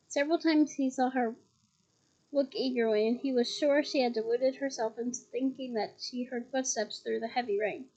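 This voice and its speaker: A slightly hoarse woman reading a novel aloud at home, breaking off for chatty, giggly asides. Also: sits high, darkish tone, highs cut off. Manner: chatty, giggly asides between read passages. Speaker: reader of a novel. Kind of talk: casual read-aloud with asides. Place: at home on her bed.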